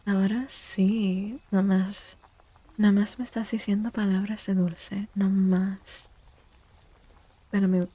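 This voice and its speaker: A woman speaking softly and breathily in a gentle, unhurried near-whisper, chatting as an ASMR livestream host and often softly repeating phrases. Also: medium pitch, poor audio. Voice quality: creaky. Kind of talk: soft-spoken ASMR livestream chat.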